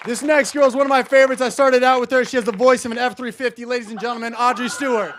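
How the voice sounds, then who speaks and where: A man's voice: very high-pitched, fairly even intonation, quick pace, a bit bright, a faint crowd, a little hiss or hum. An American man hosting a stand-up show with brash, mock-tough bro talk, tacking dude onto his sentences. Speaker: comedy show host.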